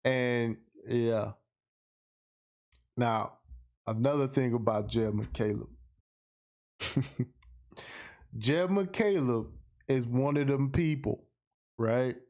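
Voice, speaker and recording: Slow-paced American man talking casually. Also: mid-range voice, darkish tone. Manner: slangy, emphatic, repeats phrases for effect. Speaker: podcast host. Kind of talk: casual livestream monologue, responding to viewer comments.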